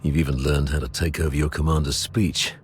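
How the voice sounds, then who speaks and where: A grizzled old commander for a video game, with an exceptionally deep voice. He speaks in a measured, authoritative and fatherly way with dry wit. Faint ambient noise sits underneath. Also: flat delivery. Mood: amused. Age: older. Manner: measured, authoritative orders and speeches. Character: gruff old veteran military commander, fatherly and dryly wry. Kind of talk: video game cutscene acting.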